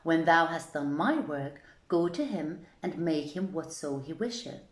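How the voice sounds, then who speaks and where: A woman's voice: deep voice, lively intonation, a little slow. A woman voicing a proud, boastful young male lion whelp in an archaic, commanding way for a folk tale reading. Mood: demanding. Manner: imperious, archaic, commanding speech. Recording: echoey, roomy sound.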